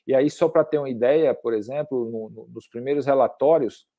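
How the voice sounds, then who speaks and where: A middle-aged Brazilian man giving an online academic lecture, haltingly, repeating words as he builds his sentences. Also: mid-range voice, narrow, tinny audio. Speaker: climate researcher, guest lecturer.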